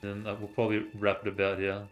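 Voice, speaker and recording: A man's voice: fairly low pitch, monotone, fast talker, soft background music. A man, a native Vietnamese speaker, chatting casually and rambling through gameplay commentary on stream.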